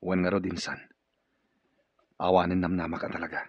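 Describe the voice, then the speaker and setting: A man's voice: low voice, breathy edge, dry recording, heavily acted. An actor playing a stern, wary, ailing male boss in a radio drama. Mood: weary, grudging. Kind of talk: Ilocano radio drama acting. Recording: dull, lo-fi radio drama audio.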